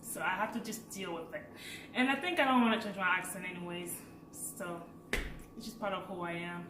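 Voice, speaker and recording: A woman's voice: lowish voice, normal speed, far from the mic, background noise. Chatty French-accented woman in an echoey room. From Africa. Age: late 20s. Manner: chatty and animated, laughs while telling stories. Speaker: vlogger. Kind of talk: casual personal storytelling.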